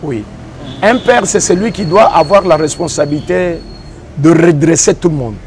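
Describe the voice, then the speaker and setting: A man with a Congolese French accent, a pastor and engineer, answering interview questions in a measured way and repeating phrases as he defines ideas. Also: on the high side, a little mellow, a little hiss or hum. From Democratic Republic of the Congo.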